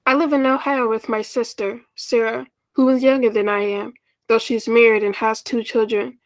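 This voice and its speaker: A woman's voice: on the high side, fairly even intonation, normal speed, no room sound, natural voice, low-quality recording. A woman playing a shy, lonely, self-effacing older sister in a 1940s-style radio play, speaking wistfully and hesitantly. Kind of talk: 1940s radio play acting, first-person narration.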